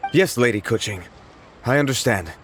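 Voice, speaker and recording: Man playing a lively, deferential male clerk. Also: mid-range voice, normal speed, faint music behind, close to an everyday voice. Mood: obedient. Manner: polite, slightly flustered. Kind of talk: anime video game voice acting.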